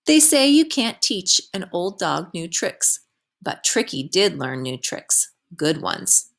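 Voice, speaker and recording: A woman reads a children's storybook aloud in a slightly low voice. Her pitch moves up and down a lot, giving the narration a dramatic, expressive feel suited to young listeners. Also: slow, creaky. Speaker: teacher reading a picture book aloud.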